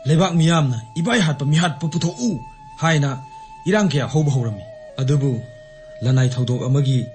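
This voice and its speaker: A man acting in a radio drama as a gentle, earnest figure who consoles and coaxes. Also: mid-range voice, close to an everyday voice. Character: consoling, practical man comforting a bereaved woman. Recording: faint music behind the voice.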